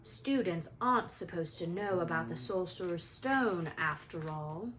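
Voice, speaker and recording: A woman voicing a cold, menacing male teacher in an icy, deliberate way for a storybook. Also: fairly low pitch, animated, fairly bright tone, a little hiss or hum, voice pushed down, low-quality recording.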